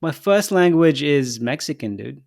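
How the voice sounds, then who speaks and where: A young man co-hosting a casual podcast who speaks English as a second language, thinking aloud with pauses and restarts. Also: on the high side, expressive, vocal fry, darkish tone. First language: not English. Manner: thoughtful, halting, laughs easily. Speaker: podcast co-host.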